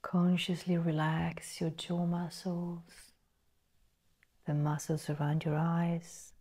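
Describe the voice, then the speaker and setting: A woman guiding a meditation in a soft, breathy, partly whispered voice, measured and full of pauses. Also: lowish voice, level tone. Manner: slow, measured, pausing between phrases. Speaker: meditation guide. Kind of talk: guided meditation narration.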